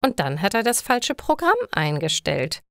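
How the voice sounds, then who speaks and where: A woman's voice: on the low side, very animated, normal speed, fairly bright tone, no room sound, clean studio sound, pitched below the performer's own voice. A woman voicing an exasperated older housewife in a story, complaining in a dramatic, emphatic way. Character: exasperated older housewife with a newly retired husband.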